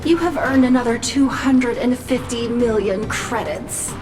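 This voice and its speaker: A woman voicing an eager, calculating assistant in a game, who reports numbers to her boss in a crisp, clipped delivery. Her voice sits high. Game music plays behind her. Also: unhurried, lightly acted. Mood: briskly reporting. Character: efficient female business assistant, eager and calculating. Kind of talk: game cutscene voice acting.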